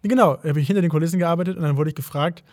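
A man's voice: a little high, racing, darkish tone, no echo, clean studio sound. A man co-hosting a casual chat, chatty and playful, laughing often.

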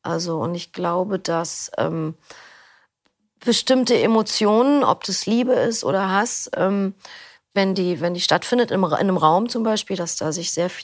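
A woman's voice: medium pitch, no room sound, clean studio sound. A middle-aged woman answering interview questions in a thoughtful, rambling way, often restarting her sentences. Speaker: interview guest, audiobook narrator.

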